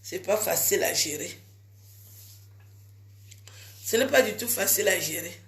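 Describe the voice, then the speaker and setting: A woman's voice: on the low side, lively intonation, a little slow, a bit bright. Teasing, chatty woman in an echoey room. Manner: chatty, teasing and scolding her viewers. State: has a cold. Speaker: vlogger. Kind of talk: casual chat to fans.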